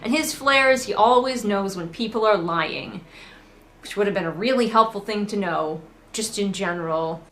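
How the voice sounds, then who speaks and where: A woman's voice: mid-range voice, normal speed, fairly bright tone, reverberant. Sarcastic woman giving casual book commentary. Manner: sarcastic, sweary, conversational. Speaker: book critic and host of a readalong.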